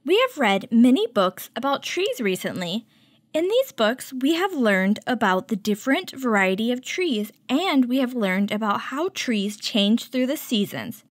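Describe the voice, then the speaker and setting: A woman hosting a children's story time, reading a picture book aloud and teaching with clear, instructive delivery. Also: a little high, very animated, unhurried, dry recording, studio quality. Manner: clear, instructive, addressing young children with questions.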